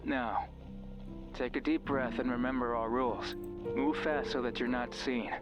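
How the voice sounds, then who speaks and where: An actor playing a mysterious, controlling friend who coaxes and instructs the listener over a radio in a horror game. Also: male voice, medium pitch, fairly even intonation, unhurried, muffled recording, close to an everyday voice. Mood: instructing. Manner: instructing, coaxing, addresses listener directly. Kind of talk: horror visual novel voice acting. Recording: music behind the voice.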